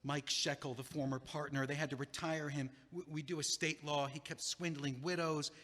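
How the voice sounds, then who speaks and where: A man's voice: a little high, fairly even intonation, moderate pace, fairly bright tone. An actor in his thirties playing a heartbroken, self-pitying lawyer who stammers and pleads, in an audio play. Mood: panicked. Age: 30s. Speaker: estate lawyer. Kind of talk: stage audio play acting. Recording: long hall reverb.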